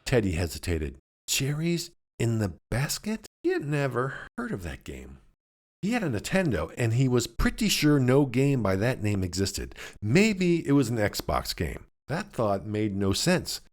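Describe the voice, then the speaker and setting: A man narrating a scripted suspense story, dramatically, with deliberate pauses. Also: mid-range voice, huge pitch swings. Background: music.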